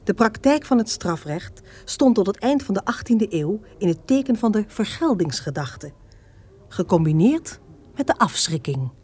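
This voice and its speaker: A woman's voice: mid-range voice, sing-song, normal speed, darkish tone, breathy edge. A woman narrating a historical radio drama in a scripted, expository storytelling style. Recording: faint background noise.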